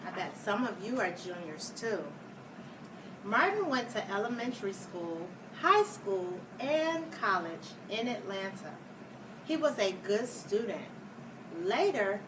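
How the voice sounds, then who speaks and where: A woman reading a children's picture book aloud like a schoolteacher, deliberately, with explanatory asides for young listeners. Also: medium pitch, animated. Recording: distant mic, clear background noise.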